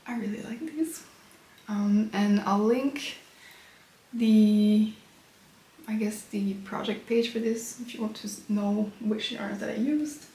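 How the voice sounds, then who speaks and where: A woman hosting a knitting podcast from her apartment, slightly congested from a cold, chatting in a relaxed, casual way. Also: mid-range voice, slow pace. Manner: relaxed and chatty, with frequent fillers. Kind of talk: casual chat showing knitting. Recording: echoey room with faint background noise.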